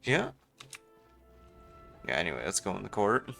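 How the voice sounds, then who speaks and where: A man's voice: lowish voice, wildly swooping pitch, moderate pace, vocal fry, sharp tone. A man giving casual, offhand live commentary as he streams a game, often talking to himself. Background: game music.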